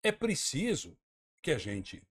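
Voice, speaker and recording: A man's voice: a little high, huge pitch swings, takes it slowly, fairly bright tone. A man from Santa Catarina, Brazil, a former tourism minister, speaking emphatically and argumentatively as a guest on a radio talk-show interview. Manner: emphatic, argumentative, repeats words while thinking.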